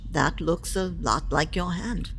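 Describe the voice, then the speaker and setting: Bossy but soothing older matron, really low voice, echoing hall, dry wit. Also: female voice, a little slow, fairly bright tone, a little hiss or hum. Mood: calming, wry. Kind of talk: full cast audio drama acting. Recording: long hall-like reverb, faint ambience.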